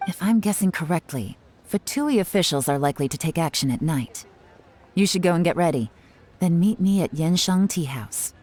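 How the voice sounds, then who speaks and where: A woman's voice: fairly low pitch, lively intonation, normal speed, a little mellow. A woman playing a cool, shrewd intelligence officer in a video game, composed and commanding with dry confidence. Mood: commanding, confident. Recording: music behind the voice.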